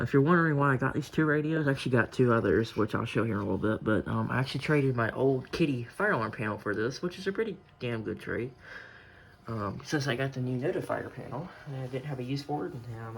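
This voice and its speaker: A man giving a casual, unscripted show-and-tell as a hobbyist collector, rambling and halting as he corrects himself. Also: medium pitch, expressive, creaky, slight background noise, poor audio.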